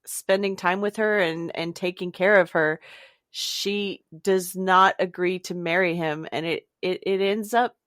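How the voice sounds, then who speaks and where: A woman's voice: lowish voice, steady tone, unhurried, a bit bright, dry recording, studio quality. A woman co-hosting a casual discussion about books. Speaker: podcast co-host.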